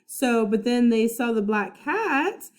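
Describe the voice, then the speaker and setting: A woman with a fairly high voice reads a children's storybook as a first-grade teacher. She explains simply and checks understanding as she goes. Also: expressive, takes it slowly. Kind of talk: reading a children's book aloud with recaps.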